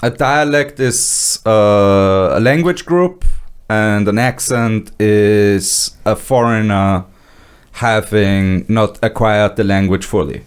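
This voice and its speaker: A man's voice: lowish voice, takes it slowly, clean tone. Blunt, teasing man. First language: German. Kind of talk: casual podcast chat.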